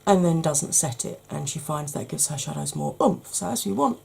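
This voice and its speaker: A woman, hoarse from hay fever, chatting through a makeup tutorial step by step and speaking straight to her viewers, with frequent ums. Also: deep voice, expressive, moderate pace, darkish tone.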